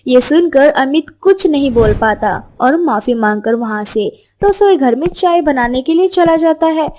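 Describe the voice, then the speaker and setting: A woman's voice: high-pitched, animated, moderate pace, darkish tone, slight background noise. A woman narrating a scripted moral story as its storyteller.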